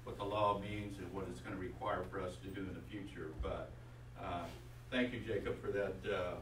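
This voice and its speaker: A man's voice: low voice, fairly even intonation, normal speed. A man informally moderating a public meeting, speaking as a water manager and introducing and responding to speakers. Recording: echoey room, distant mic, clear noise.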